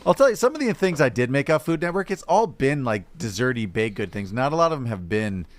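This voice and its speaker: A man's voice: medium pitch, very animated, fairly quick. A man chatting casually as a podcast co-host, joking and giving his opinions freely. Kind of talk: casual comedic chat.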